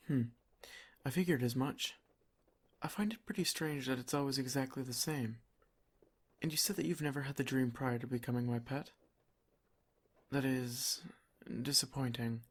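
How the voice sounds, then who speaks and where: A man playing a doting, protective vampire lord in an ASMR roleplay, soft-spoken, formal and reassuring. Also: medium pitch, natural voice. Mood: warm, soothing.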